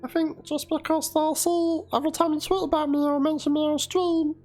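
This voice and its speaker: Squeaky, very high-pitched evasive streamer, halting and noncommittal, music underneath. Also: level tone, darkish tone. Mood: evasive, hesitant. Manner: halting, noncommittal, full of ums. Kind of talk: dramatic reading of chat messages.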